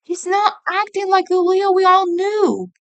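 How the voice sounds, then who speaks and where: A woman's voice: extremely high pitch, steady tone, a little slow, fairly bright tone, highs cut off, voice pushed up, heavily acted. A woman voicing an upset, tearful teenage boy turtle ninja who whines stubbornly in a fan story reading. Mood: whiny, stressed. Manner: whining, stubborn, sulky.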